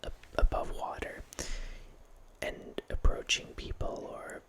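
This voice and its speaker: A man in his thirties whispering a relaxed ASMR ramble as host, close to a studio mic in a small home room, with frequent restarts. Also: slow pace. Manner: whispering throughout, relaxed film-nerd musing with frequent restarts. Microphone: studio mic close up, headphones on.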